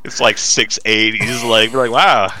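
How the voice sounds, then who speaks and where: Bright-voiced man in casual podcast banter. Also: lowish voice, flat delivery, moderate pace, poor audio. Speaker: podcast co-host.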